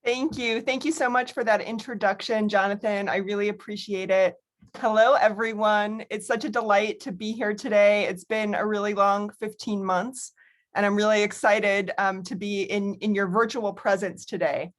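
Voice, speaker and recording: A middle-aged woman with an American accent presents as an author over a video call. Her pitch is average for a woman. She follows prepared remarks but sounds personable and candid, with conversational asides. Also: steady tone, normal speed. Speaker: author and academic speaker.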